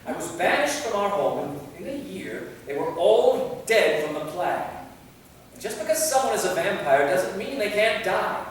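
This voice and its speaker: A man's voice: high voice, sing-song, normal speed. A man playing an anguished, weary and bitter immortal vampire in a staged reading. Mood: despairing. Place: theater, recorded from the audience distance. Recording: echoey hall from far off, faint noise.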